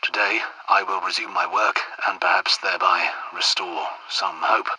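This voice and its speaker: Anxious, husky English royal doctor. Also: male voice, sits low, steady tone, normal speed, bright, forceful tone, exaggerated voice, rough recording. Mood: grave, determined. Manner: well-spoken, hesitant, polite. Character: anxious, earnest British royal doctor amid a deadly outbreak. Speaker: video game voice actor. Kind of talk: dramatic game dialogue and audio diary entries.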